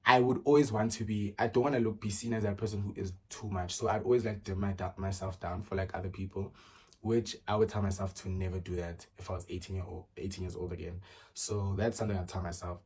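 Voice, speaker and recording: A man's voice: low-pitched, steady tone, quick pace, a little mellow, husky. A man, chatting casually through a get-to-know-me Q and A, full of fillers and restarts. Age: early 20s. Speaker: vlogger.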